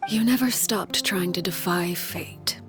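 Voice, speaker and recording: A woman playing a composed, stern leader in a video game, speaking in a grave, measured and formal manner. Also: on the low side, music in the background, natural voice. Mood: solemn, restrained.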